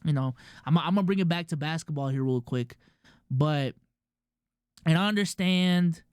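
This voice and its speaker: A man's voice: fairly high pitch, normal speed, a little mellow, clean tone, no echo. A male streamer with a Filipino accent, talking loosely to his chat with slang and lots of restarts, close to a dry mic. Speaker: streamer and podcast host. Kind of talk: casual commentary to a live chat.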